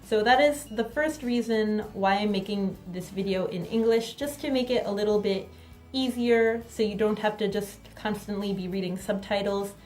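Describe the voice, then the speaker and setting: A woman teaching a grammar lesson while sitting in front of her camera, speaking clearly and deliberately. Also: medium pitch, darkish tone. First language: not Korean. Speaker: language teacher. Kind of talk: explaining to camera. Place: sitting indoors. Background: faint background music.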